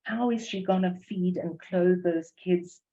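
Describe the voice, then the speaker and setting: Slow, mellow South African woman lecturing. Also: fairly low pitch. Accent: South African English. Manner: measured, careful reading of prepared text. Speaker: academic presenter. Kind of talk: lecture presentation with poetry reading. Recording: dull lo-fi recording.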